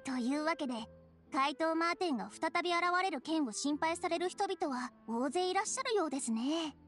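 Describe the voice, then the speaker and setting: A woman's voice: high voice, expressive, a little fast, soft background music. An actress playing an eager, dramatic young female news reporter in an anime game, with a theatrical, inquisitive manner. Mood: excited. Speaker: character voice actor.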